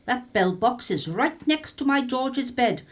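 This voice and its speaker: A woman's voice: a little high, animated, measured pace. A woman playing an indignant, nosy, scolding neighbour in a radio play.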